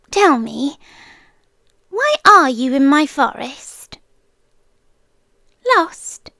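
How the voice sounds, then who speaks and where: A woman performs a girlish forest deer spirit for an ASMR roleplay, with a British accent. Her high voice swoops playfully and moves very slowly. She is coy, sweetly menacing and possessive, drifting into whispers right at the mic. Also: dry recording, studio quality, full character voice. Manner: coy, teasing, breathy whispers mixed with soft speech. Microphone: close microphone.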